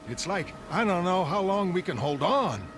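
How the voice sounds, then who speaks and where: An actor playing an agitated, opinionated city news vendor, ranting in a streetwise way in a comic-book drama. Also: male voice, sits high, big pitch swings, moderate pace. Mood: worried. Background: music.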